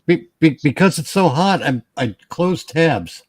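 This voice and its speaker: A man's voice: on the high side, lively intonation, unhurried, husky, dry recording. Casual man on a stream, dry sound. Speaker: panelist and streamer. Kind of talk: casual chat.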